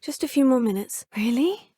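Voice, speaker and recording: An actress voicing a polite, curious young student witch in a game, asking measured questions. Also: high-pitched, lively intonation, dark tone, breathy edge, no echo. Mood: calm, polite. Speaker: player character. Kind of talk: video game voice lines. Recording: dry studio recording.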